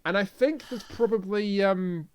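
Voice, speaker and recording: A man's voice: high-pitched, unhurried, clean tone. A man co-hosting a casual review discussion, talking informally with others. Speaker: podcast co-host reviewing audio dramas.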